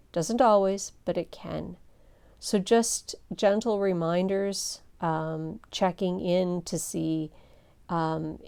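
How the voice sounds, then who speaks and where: Soft-voiced, low, gravelly woman pastor. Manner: gentle, earnest teaching with measured pauses. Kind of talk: teaching seminar talk.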